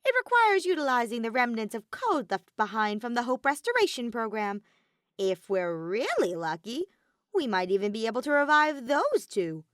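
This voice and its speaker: A woman's voice: on the high side, sing-song, normal speed, fairly bright tone, no echo, studio quality, voice pushed up. A woman voicing a mischievous, grumpy robotic bear villain with a flippant, cartoonish delivery, in a fan story reading. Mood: flippant, scheming.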